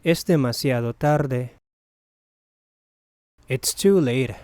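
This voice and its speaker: A Spanish teacher's voice reading a bilingual phrase drill, saying each phrase, translating it, then repeating it deliberately. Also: mid-range voice, mellow, no echo, clean studio sound.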